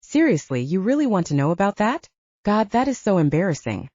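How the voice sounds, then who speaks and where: A candid, self-mocking young female werewolf soldier, acted out by a woman audiobook reader. Her chatty delivery swings widely in pitch, with a mellow tone on a slightly muffled, dry recording. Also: big pitch swings, a little fast, dry recording. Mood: embarrassed, reflective. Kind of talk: audiobook character dialogue. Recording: dull, lo-fi sound.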